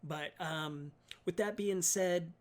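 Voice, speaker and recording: A chatty man walks viewers through building tabletop miniatures, his voice a touch higher than most men's. Allergies leave him slightly raspy and softer than usual. He fills his sentences with ums and uhs. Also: takes it slowly. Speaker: miniatures hobby YouTuber. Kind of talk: casual hobby tutorial.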